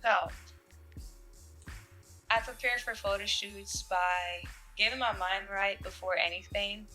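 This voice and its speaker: A young woman from Jamaica, a fashion model, answering interview questions in a relaxed way with fillers and soft laughs. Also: medium pitch, slow, faint music behind, low-quality recording.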